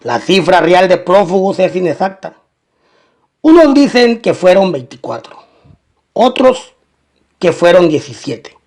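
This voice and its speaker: A man's voice: high voice, a little mellow. A man narrating a scripted, documentary-style crime story.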